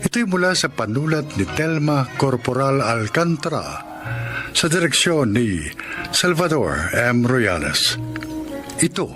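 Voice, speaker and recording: Filipino radio drama announcer guy, really slow and swoopy delivery, music underneath. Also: medium pitch, sing-song, a bit bright. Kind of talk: formal scripted radio announcing, reading credits and introductions.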